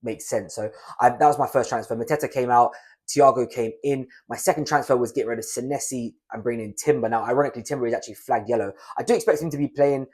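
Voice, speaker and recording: Young male YouTuber, raspy from a cold, rapid casual delivery, mid pitch. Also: darkish tone. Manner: chatty, conversational, fast. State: croaky from a cold. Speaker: fantasy football YouTuber. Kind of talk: casual analysis to camera.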